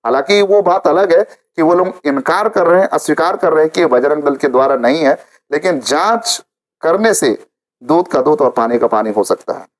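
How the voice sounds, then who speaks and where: A man's voice: fairly high pitch, lively intonation, moderate pace. A man presenting informal news commentary to viewers, repeating phrases for emphasis.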